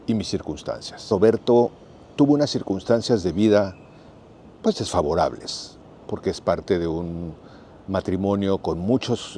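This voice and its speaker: A Mexican man in his sixties, thinking aloud in a plain, unhurried, conversational monologue for his vlog. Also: deep voice, mellow, slight background noise. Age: 60s. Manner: unhurried, thoughtful, plain-spoken and explanatory. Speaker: vlogger. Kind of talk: reflective casual monologue.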